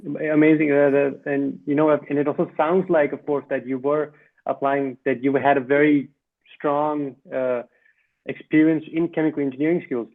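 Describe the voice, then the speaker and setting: A man's voice: on the high side, level tone, moderate pace. A man hosting a podcast, asking interview questions and giving frequent encouraging responses. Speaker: podcast host. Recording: tinny phone-like line.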